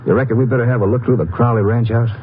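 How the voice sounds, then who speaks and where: A man's voice: medium pitch, very fast, lightly acted. A man playing a dry, watchful Texas Ranger sidekick in a 1950s radio drama, laconic and plain-spoken. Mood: dry suspicion. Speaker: actor. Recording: tinny, noisy old radio recording.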